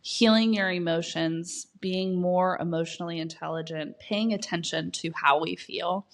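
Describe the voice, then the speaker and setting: A woman leading a guided meditation, giving gentle, soft instructions with long pauses between phrases. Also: fairly low pitch, fairly even intonation.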